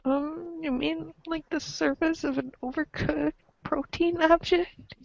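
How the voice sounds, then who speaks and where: Girl doing nervous troll kid for a webcomic read, slow n stammery, kinda croaky, lo-fi recording. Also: sits high, fairly even intonation, darkish tone, no echo, heavily acted. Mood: unsure. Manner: halting, stammering, full of ums. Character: hesitant, awkward alien troll teen, easily flustered. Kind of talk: amateur comic script reading.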